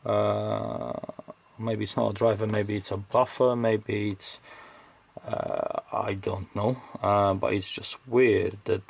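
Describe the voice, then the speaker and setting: A man's voice: lowish voice, level tone, slow, vocal fry, breathy edge. A man giving casual, off-the-cuff technical commentary as he hosts an electronics teardown, hesitantly thinking aloud as he goes.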